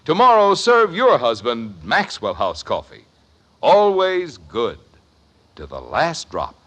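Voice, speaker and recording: Highly animated vintage radio pitchman. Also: slow, background noise. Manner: emphatic, salesmanlike. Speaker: commercial announcer. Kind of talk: 1950s radio ad read.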